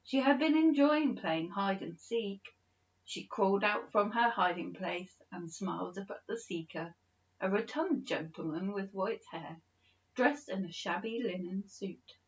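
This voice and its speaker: A woman reading a children's story aloud as an expressive bedtime storyteller. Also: medium pitch, unhurried, lo-fi sound.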